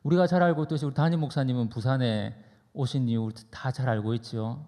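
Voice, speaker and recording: A man preaching as a pastor in a conversational, anecdotal sermon style, deliberate and pausing between phrases. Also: mid-range voice, fairly even intonation, dark tone. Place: church sanctuary during a service. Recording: reverberant hall, long echo.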